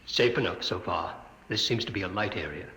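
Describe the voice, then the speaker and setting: An actor playing an excitable, incredulous male spaceship crewman in a 1950s radio drama. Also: sits low, fairly quick. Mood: amazed. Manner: exclamatory, incredulous. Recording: noisy old radio broadcast recording.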